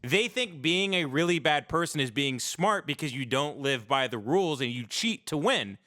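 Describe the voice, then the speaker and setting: A man's voice: fairly high pitch, expressive, moderate pace, sharp tone, no room sound, studio quality. An American man on a casual livestream, talking in a rambling, opinionated and profane way with plenty of emphasis. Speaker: podcast host.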